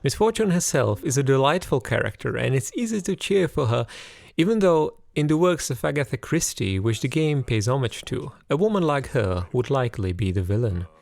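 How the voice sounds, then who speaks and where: Man, scripted review narration, animated intonation, noticeable vocal fry, game review host. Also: mid-range voice, a little fast, dry recording.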